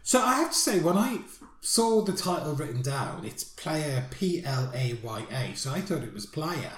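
A man's voice: on the high side, big pitch swings, normal speed, a bit bright. Chatty man reviewing songs, roomy sound. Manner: chatty, laughs often, rambling asides. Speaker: podcast co-host. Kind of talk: casual music review chat. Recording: roomy echo.

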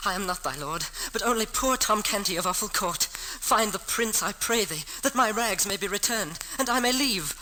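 A woman's voice: mid-range voice, expressive, moderate pace, few pauses, pushed and bright. A woman playing a frightened, pleading poor boy with archaic speech in an old radio drama. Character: frightened poor pauper boy in prince's clothes. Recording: faintly noisy vintage radio recording.

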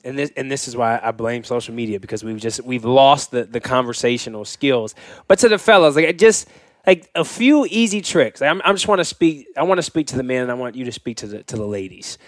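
A young man chatting casually as a podcast co-host, giving dating advice and acting out sample dialogue in an animated way. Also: mid-range voice, fairly quick, no room sound, clean studio sound.

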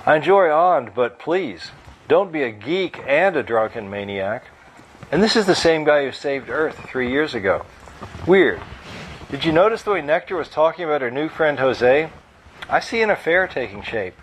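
A man's voice: a little high, sing-song, normal speed, a little hiss or hum, voice pushed up, low-quality recording. A man voicing a chatty, wisecracking husband who gossips and jokes, with a forced folksy chuckle. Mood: gossipy. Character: joking, gossipy husband, nervous under the banter.

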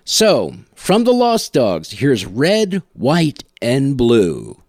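A man's voice: medium pitch, wildly swooping pitch, very slow, darkish tone. A man hosting a music show, telling casual anecdotes in a wry, self-deprecating way. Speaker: podcast host and musician.

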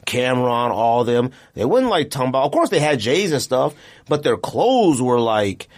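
A man's voice: mid-range voice, very animated, moderate pace. A man co-hosting a casual podcast in slangy, argumentative banter. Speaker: podcast co-host.

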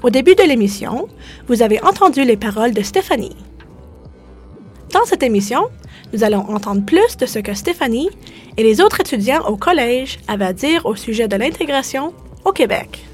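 A woman hosting a podcast, reading a scripted introduction in a clear, measured way. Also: on the high side, animated, faint music behind. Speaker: podcast host. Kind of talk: scripted bilingual narration.

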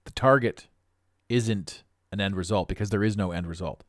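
Podcast host, raspy middle-aged man, deep and blunt, dead-dry sound. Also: normal speed, no room sound. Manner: blunt, preachy, emphatic. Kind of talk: motivational monologue.

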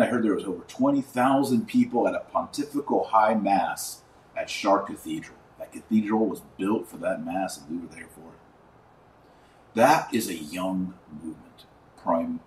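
Deep-voiced man in an echoey room. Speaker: podcast host and commentator. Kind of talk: informal religious commentary podcast. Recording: roomy echo, distant mic, faint noise.

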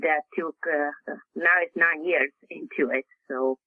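A man's voice: a little high, fairly even intonation, no room sound. Man with Hungarian accent on a phone line. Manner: halting, many fillers and restarts. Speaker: author guest. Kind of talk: interview answers.

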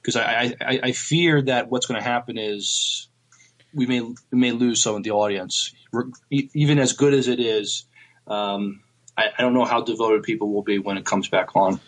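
A man's voice: medium pitch, fairly even intonation, normal speed, poor audio. A man in a casual podcast discussion, talking in a hesitant, thoughtful way as he gives his opinions. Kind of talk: casual TV review chat.

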